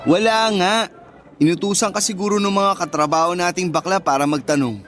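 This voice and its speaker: A man's voice: high-pitched, moderate pace, fairly bright tone, soft background music. An actor playing a shy, modest man of twenty-one in a radio drama, casual and bashful. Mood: shy, amused. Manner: bashful, self-deprecating, casual. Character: shy, modest introverted young male office worker, twenty-one. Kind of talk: Tagalog radio drama dialogue.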